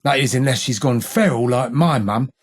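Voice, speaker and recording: An actor playing a gruff, streetwise London gang tough in a video game, with a rough gravelly British working-class accent and blunt, slangy, taunting delivery. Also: male voice, mid-range voice, normal speed, close to an everyday voice. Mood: gruff, confiding. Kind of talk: video game character voice lines.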